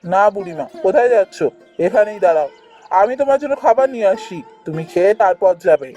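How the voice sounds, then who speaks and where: A woman's voice: mid-range voice, animated, quick pace, dark tone, faint music behind, close to an everyday voice. A woman voicing a principled, kind-hearted younger brother in a fairy-tale cartoon, earnest and firm. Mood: reassuring.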